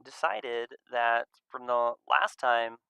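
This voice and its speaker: A man's voice: mid-range voice, expressive, takes it slowly, gravelly, pushed and bright, clear, resonant tone, no echo. A man hosting a casual livestream, chatting loosely and playfully, sometimes murmuring in singsong to himself. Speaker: livestream host of a visual novel reading. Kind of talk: casual livestream chat while waiting to start.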